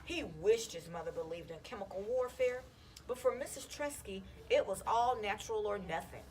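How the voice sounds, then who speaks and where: A woman reads a children's storybook aloud in an expressive, animated way. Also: medium pitch, moderate pace. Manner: animated, acting out the children's dialogue. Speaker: school counselor reading a children's book aloud.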